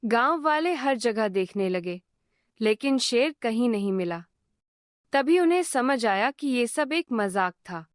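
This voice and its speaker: Slow, higher-pitched woman storyteller. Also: no room sound, clean studio sound. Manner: calm, measured. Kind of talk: scripted storybook narration.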